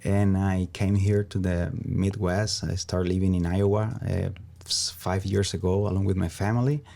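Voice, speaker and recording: Gravelly low-voiced man with Venezuelan accent. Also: level tone, warm tone. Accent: Venezuelan Spanish. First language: Spanish. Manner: halting, searching for words, frequent "you know". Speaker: interview guest, small business founder. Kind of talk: conversational interview answers.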